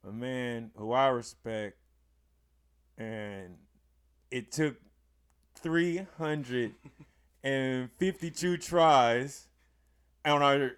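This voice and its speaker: Hoarse man hosting a hype podcast. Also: medium pitch, extremely slow, long pauses. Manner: hype, emphatic, bursts into loud exclamations. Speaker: podcast host. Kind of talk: casual podcast chat.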